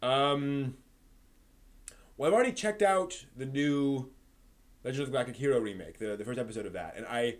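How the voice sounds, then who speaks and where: A man's voice: medium pitch, moderate pace, long pauses. Man hosting an anime talk stream. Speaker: live stream host. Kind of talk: anime review and live discussion.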